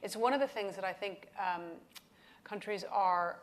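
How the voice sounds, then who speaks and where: A woman's voice: medium pitch, normal speed. A woman moderating a conference panel interview, asking probing follow-up questions. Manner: probing, pressing for direct answers. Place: conference stage, large hall.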